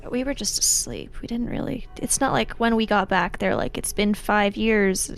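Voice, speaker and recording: Woman playing a blunt thief, creaky voice. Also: mid-range voice, normal speed, a little hiss or hum, natural voice. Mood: reasoning. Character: frank young woman thief, apologetic yet firm.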